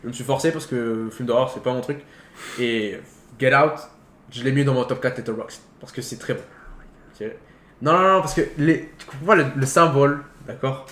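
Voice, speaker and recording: A man's voice: medium pitch, moderate pace, a bit bright. A man co-hosting a casual film talk, rambling informally in slangy, half-finished sentences. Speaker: co-host of a film talk show. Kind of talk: casual film debate between friends. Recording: echoey room with faint background noise.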